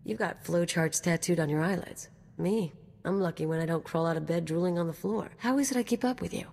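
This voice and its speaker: A woman's voice: on the low side, brisk, gravelly, darkish tone, in a large hall. An actress playing a brash, swaggering anarchist mercenary woman in a video game, with casual, teasing, slangy talk. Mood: breezy, boastful. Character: brash, cocky female anarchist mercenary companion.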